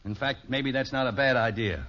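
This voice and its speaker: A man's voice: medium pitch, normal speed, bright, forceful tone. A man playing an exasperated, stubborn middle-aged father in a 1950s radio sitcom, grumbling with folksy, emphatic sarcasm. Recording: dull 1950s radio broadcast recording.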